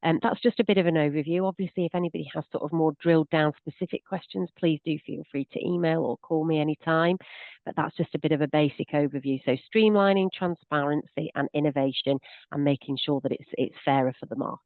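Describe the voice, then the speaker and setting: A woman's voice: deep voice, steady tone, quick pace, dry recording. Woman with a cold on a tinny call. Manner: chatty, self-deprecating, restarts often. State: has a cold. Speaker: solicitor. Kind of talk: webinar Q&A explanation. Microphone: video call. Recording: dry tinny video call audio.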